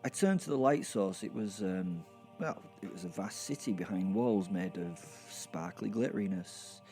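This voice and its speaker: A man's voice: sits low, lively intonation, moderate pace, mellow, soft background music. A man playing an irreverent, foul-mouthed werewolf who narrates an audio drama with hesitant, rambling, wry asides. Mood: exasperated, wry. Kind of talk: first-person horror comedy audio drama narration.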